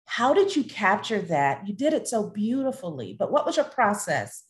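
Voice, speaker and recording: A woman's voice: medium pitch, big pitch swings, moderate pace. A middle-aged woman hosting a conversational interview over a video call from her home. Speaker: interview host, theatre director. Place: large living room at home on a video call.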